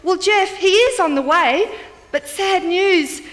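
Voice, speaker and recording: Dinosaur news anchor for kids: earnest, piping and bright, reverberant sound. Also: female voice, very high-pitched, slow, exaggerated voice. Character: earnest girlish female dinosaur as news reporter. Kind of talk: mock news bulletin for children. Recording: long hall-like reverb.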